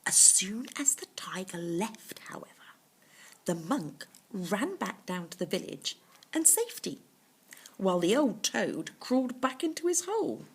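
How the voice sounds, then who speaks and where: Slow, expressive woman storyteller, soft husky voice. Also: medium pitch. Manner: explains words simply to young listeners. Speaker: storyteller reading to children. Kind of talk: animated children's bedtime story reading with explanatory asides.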